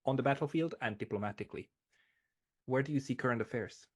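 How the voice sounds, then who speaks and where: A man's voice: mid-range voice, moderate pace. A man speaking as the host of an unscripted political interview.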